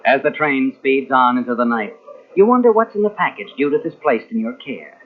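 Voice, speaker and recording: An actor playing a knowing, insinuating narrator in a 1940s radio suspense drama, speaking dramatically as if taunting the guilty hero. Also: male voice, mid-range voice, lively intonation, fairly quick, slight background noise, lightly acted. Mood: suspenseful. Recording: tinny old radio recording from cassette.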